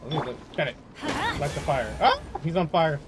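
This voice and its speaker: A man's voice: on the high side, lively intonation, moderate pace. A man giving casual gameplay commentary, musing aloud and rambling as he plays. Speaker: game streamer. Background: game music.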